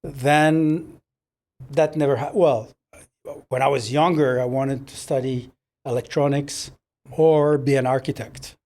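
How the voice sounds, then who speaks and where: An older man from Morocco whose first language is French, telling his life story slowly as a podcast guest, with hesitant pauses and fillers. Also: mid-range voice, fairly even intonation, darkish tone. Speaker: podcast guest, business owner. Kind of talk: relaxed interview storytelling.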